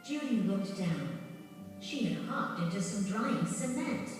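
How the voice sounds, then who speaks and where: A woman narrates a children's storybook in an animated, expressive style at an unhurried pace. The recording is very reverberant and distant, with music playing behind her. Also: mid-range voice.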